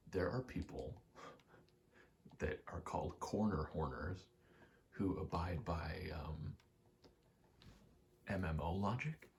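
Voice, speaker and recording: A soft-spoken man giving rambling ASMR game commentary, halting and casually profane, often slipping into a whisper. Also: a bass voice, creaky, slight background noise. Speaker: gaming video creator.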